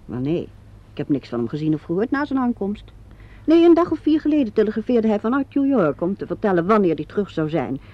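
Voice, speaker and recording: Quick-talking, witty theatre designer. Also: female voice, mid-range voice, sing-song. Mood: brisk, informative. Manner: chatty, self-deprecating, brisk. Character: witty, brisk female theatre designer keeping her nerves in check. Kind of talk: vintage Dutch radio play acting. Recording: dull lo-fi vintage radio recording.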